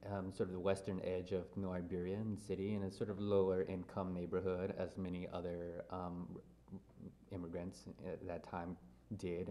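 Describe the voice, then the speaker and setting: Guest, male, 30s, deep voice, easygoing storyteller who pauses a lot. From grew up in Louisiana, USA. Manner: relaxed, thoughtful, many ums and restarts. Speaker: interview guest. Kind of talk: casual interview.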